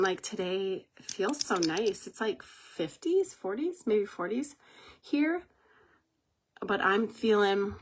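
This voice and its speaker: A woman with a cold, congested and husky, chatting casually while doing her makeup on a livestream, rambling and laughing often. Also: mid-range voice. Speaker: makeup live-stream host.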